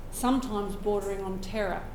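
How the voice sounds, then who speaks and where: A woman with an Australian accent, croaky from a cold, telling an earnest personal story to a live audience and clearing her throat now and then. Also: medium pitch, steady tone, a little fast, reverberant, noisy background. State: hoarse from a cold. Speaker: open mic storyteller.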